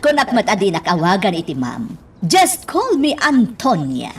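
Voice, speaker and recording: A woman playing a gracious, sociable, well-to-do lady with a husky voice in an Ilocano radio drama. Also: medium pitch, wildly swooping pitch, moderate pace, heavily acted.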